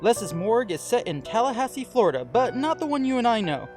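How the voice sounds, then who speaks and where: A man's voice: extremely high pitch, big pitch swings, quick pace. A man reading scripted show credits and announcements as an announcer. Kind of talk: scripted narration. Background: music.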